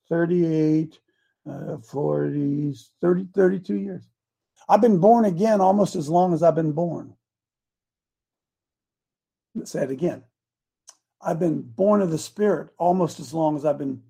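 A man's voice: fairly high pitch, moderate pace, gravelly, long pauses, warm tone, slightly rough. A man in his seventies preaching a casual, folksy sermon, repeating his points with emphasis. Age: 70s.

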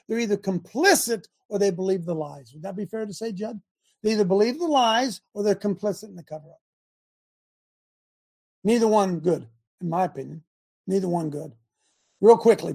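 A man's voice: sits high, darkish tone. A man hosting a live talk stream, talking emphatically and directing his producer between remarks.

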